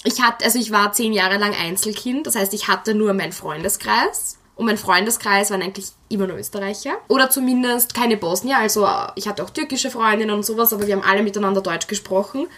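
A woman's voice: medium pitch, quick pace, hardly any pauses, fairly bright tone. Chatty young woman laughing often. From Austria. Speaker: interviewee. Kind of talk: casual interview talk.

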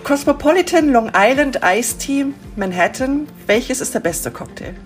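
Upbeat woman host with quick questions. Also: high voice, animated, soft background music. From Hamburg. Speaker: interview host. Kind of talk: interview questions.

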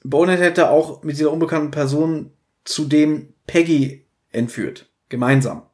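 A man's voice: a little high, normal speed, darkish tone. A man hosting a podcast, casually retelling and discussing a story, slipping into quoted dialogue. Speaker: podcast host.

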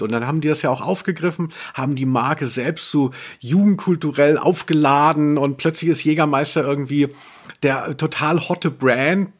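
A man with a somewhat high voice chats as a podcast host. His delivery is colloquial and wandering, peppered with English slang. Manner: rambling, colloquial, anglicism-sprinkled. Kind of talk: casual chat.

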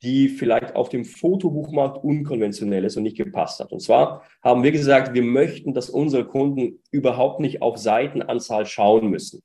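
A man's voice: mid-range voice, moderate pace, hardly any pauses, warm tone. A man speaking as a startup founder in an interview, giving conversational, detailed explanations of his experiences.

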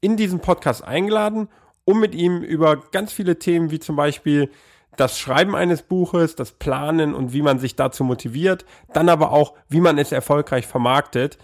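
A somewhat high-voiced man hosts a podcast while fighting a cold. His talk is upbeat and welcoming, and he asks his guest questions with small hesitations. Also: normal speed, a little mellow. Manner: upbeat, welcoming, hesitant with fillers when asking. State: has a cold. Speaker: podcast host. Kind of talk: podcast intro and interview.

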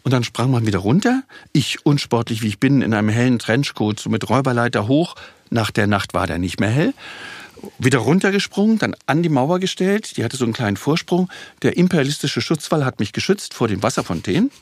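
Older male guest, 60s, mid pitch, a bit husky, self-deprecating storyteller, dry close sound. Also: brisk, dry recording. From Berlin, Germany. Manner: lively anecdotal, self-mocking. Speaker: guest actor. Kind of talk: relaxed storytelling in a podcast interview.

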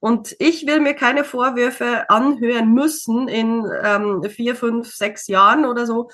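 Chatty woman, slightly high voice. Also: steady tone, measured pace, a bit bright. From Switzerland. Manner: chatty, many fillers, laughs easily. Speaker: interview guest, single mother. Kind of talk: casual conversational interview.

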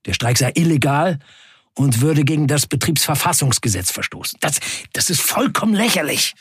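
Guy playing a defiant factory worker telling his story in a radio play, bit raspy, emphatic, very dry sound. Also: a little high, expressive, normal speed, no echo. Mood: determined. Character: defiant male Turkish factory worker recounting a wildcat strike. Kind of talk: dramatic first-person audio drama narration.

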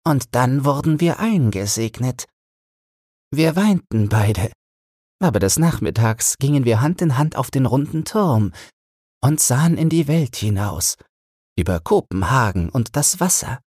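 A fond old wife played by a man reminisces in a bedtime storybook reading. The delivery is slow and smooth, lifted well above the reader's own pitch, and recorded dry. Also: very animated, darkish tone, no room sound. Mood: nostalgic. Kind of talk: audiobook fairy-tale character reading.

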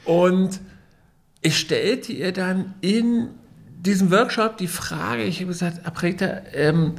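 A man's voice: high-pitched, slow pace, creaky. A man co-hosting a casual business podcast, telling stories with many fillers and restarts. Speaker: podcast co-host.

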